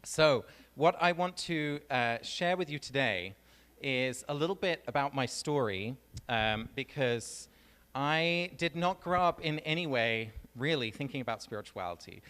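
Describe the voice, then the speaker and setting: A man with an English accent giving a personal talk on stage, relaxed and storytelling, with frequent ums. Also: medium pitch, measured pace, smooth. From England. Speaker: guest speaker. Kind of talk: public talk. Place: large venue on stage. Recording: reverberant hall.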